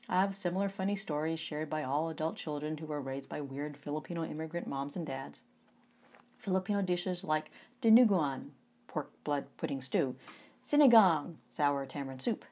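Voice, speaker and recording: A woman in her forties reads from her own memoir in a relaxed, conversational way. Her voice sits on the low side, and she drops in wry asides and animated bursts of emphasis. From United States. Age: 40s. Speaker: author.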